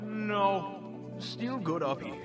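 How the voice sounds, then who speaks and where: Smug, drawling sorcerer villain. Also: male voice, sits high, animated, slow, over music. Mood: smug, unbothered. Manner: taunting, theatrical, drawling. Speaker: voice actor in audio roleplay.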